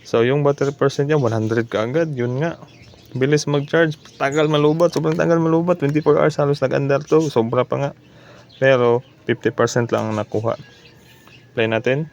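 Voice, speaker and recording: A man's voice: mid-range voice, fairly even intonation, moderate pace, vocal fry, a little mellow, muffled recording, a little hiss or hum. A man giving a casual repair demonstration, explaining step by step and mixing in English terms. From Philippines. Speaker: repair technician demonstrating his work. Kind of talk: casual repair demo vlog.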